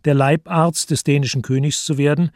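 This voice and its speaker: A man reading scripted literary narration for a radio play in a measured, matter-of-fact way. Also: fairly high pitch, fairly even intonation, a little mellow, dry recording, studio quality.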